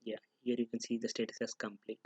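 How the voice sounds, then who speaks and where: A man giving an unscripted, step-by-step software tutorial, halting and pausing mid-sentence as he goes. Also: medium pitch, completely flat delivery, slightly rough, no echo.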